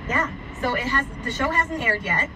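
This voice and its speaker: A woman with a fairly high voice interviews as a radio host. She is informal and encouraging. She sounds a little away from the mic, with clear noise behind her. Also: lively intonation, moderate pace, recorded from a distance. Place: radio studio.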